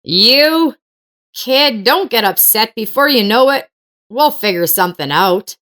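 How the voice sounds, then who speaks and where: A supportive male martial arts coach in an audio story, voiced by a woman narrator. He speaks in a reassuring, emphatic way, with the pitch raised above ordinary narration. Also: animated, measured pace, fairly bright tone.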